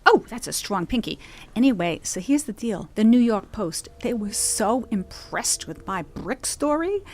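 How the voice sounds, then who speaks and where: A woman's voice: on the high side, big pitch swings, unhurried, heavily acted. A woman playing an eager, quirky small-town amateur reporter and scientist in a comedic audio drama, with emphatic delivery. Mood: giddy, boastful.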